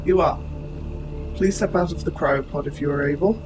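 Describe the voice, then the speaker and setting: Guy voicing a kind protective robot soldier, male, talks formal and exact, slightly high voice, music playing behind him, audio roleplay. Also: natural voice, low-quality recording. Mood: solemn, apologetic, then attentive, formal. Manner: formal, precise, measured wording. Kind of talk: scripted audio roleplay, addressing the listener.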